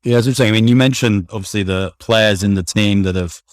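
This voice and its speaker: Low-voiced male interview host. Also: fast, dry recording, clean studio sound. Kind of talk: conversational interview questions.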